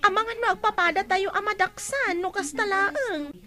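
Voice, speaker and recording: A woman's voice: very high voice, normal speed, pushed and bright. Tiny-voiced, imploring schoolgirl. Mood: pleading. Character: gentle young schoolgirl, anxious peacemaking sister. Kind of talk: radio drama acting.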